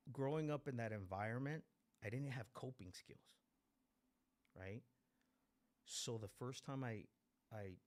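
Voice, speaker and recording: A man's voice: fairly low pitch, darkish tone. A man in his forties from Mexico, raised in East Los Angeles, speaking candidly and profanely as he gives personal testimony at a meeting. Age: 40s. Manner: blunt, profane, repeats phrases for emphasis. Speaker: guest speaker at a recovery meeting.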